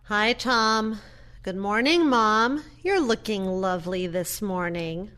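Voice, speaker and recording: A woman's voice: mid-range voice, slow, a bit bright, clean tone. A woman playing a caring, hesitant adult daughter in an audio play, tentative and earnest. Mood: polite.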